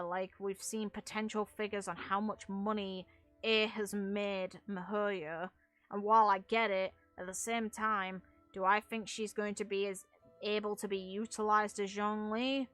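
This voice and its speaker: A woman game streamer with a bright, forward voice talks casually to her viewers. She is opinionated and leans firmly on her points. The sound is close and dry, with music behind her. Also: medium pitch, no room sound. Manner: opinionated, emphatic. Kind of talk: casual livestream chat.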